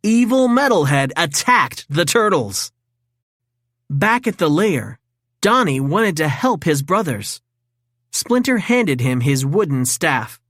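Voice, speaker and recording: A woman reading a children's story in an expressive, dramatic read-aloud style. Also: deep voice, slow pace, no room sound, studio quality. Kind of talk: scripted storybook narration for children.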